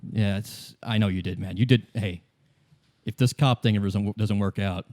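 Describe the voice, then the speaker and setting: A man's voice: on the low side, fairly even intonation, moderate pace, darkish tone, no room sound, close to an everyday voice. Man playing a boozy, downcast gang boss. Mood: weary, grieving. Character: boozy, downcast but grateful tough-guy gang leader.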